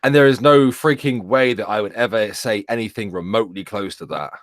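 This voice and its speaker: A man co-hosting a casual chat, blunt and quick to exasperated outbursts. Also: lowish voice, no room sound, studio quality. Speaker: podcast co-host.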